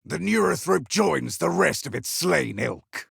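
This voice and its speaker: An actor playing a battle-hardened, zealous space marine with a gravelly, forceful voice in a video game. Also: male voice, on the high side, animated, unhurried, no room sound, clean studio sound, full character voice. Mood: grim triumph. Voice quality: gravelly, rasping. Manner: forceful barked battle cries. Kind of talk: video game combat voice lines.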